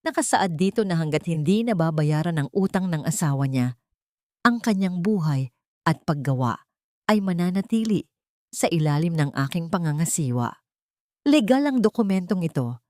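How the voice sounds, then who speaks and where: A woman's voice: fairly low pitch, animated, normal speed, a little mellow, no room sound, clean studio sound, close to an everyday voice. A woman voicing a menacing, possessive male crime boss with a taunting, domineering manner in an audio drama.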